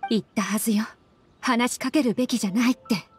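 A woman's voice: on the high side, fast talker, darkish tone, close to an everyday voice. A woman playing a mysterious, weary female spirit in an anime game, speaking in a cool, curt, guarded way. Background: faint background music.

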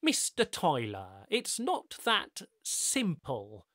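A man voicing a calm, courteous godlike male overseer with smooth, measured, faintly weary politeness. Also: a little high, no echo, studio quality, voice pushed up, exaggerated voice.

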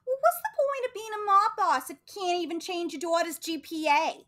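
A woman's voice: extremely high pitch, brisk, sharp tone. A woman playing a whiny, entitled college-age mob daughter in a comedic radio play. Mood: entitled pleading.

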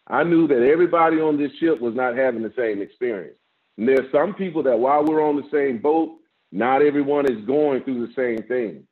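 A man in his fifties, a guest pastor, preaching a measured sermon with a strong voice from an office over a video call, rising with emphasis and repeating words as he builds. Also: medium pitch. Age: 50s. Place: office with framed certificates, indoors. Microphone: video call, laptop camera. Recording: tinny phone-like video-call audio.

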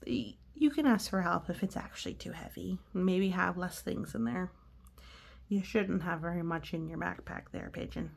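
A woman's voice: on the low side, moderate pace, gravelly, husky. A woman reading a storybook to young children, explaining things in a reassuring way. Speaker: storybook reader. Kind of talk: read-aloud commentary for young children.

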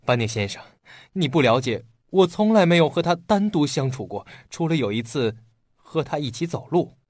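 An actor playing an earnest, polite young police detective in a radio drama. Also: male voice, a little high, sing-song, moderate pace, darkish tone, dry recording, studio quality. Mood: earnest, pleading.

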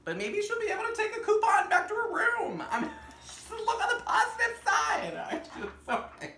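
A man hosting storytime in drag, reading a picture book aloud theatrically with dramatic gasps, drawn-out words and playful asides. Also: very high-pitched, wildly swooping pitch, sharp tone. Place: indoor room with fabric backdrop. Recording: roomy echo, some background noise.

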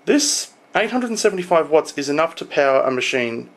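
A young adult man talking informally to a camera in a home room, explaining things in a halting, casual showcase style. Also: on the high side, very animated, fairly bright tone. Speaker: tech vlogger. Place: small home room, indoors.

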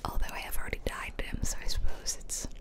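A woman whispering casual, playful game commentary in a breathy ASMR style, close to the microphone. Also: fast talker. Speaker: gaming video host. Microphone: close ear-to-ear ASMR microphone.

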